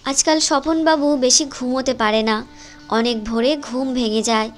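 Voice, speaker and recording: A woman's voice: high-pitched, fairly even intonation, normal speed, faint music behind. A woman narrator reading a scripted story in a storytelling style.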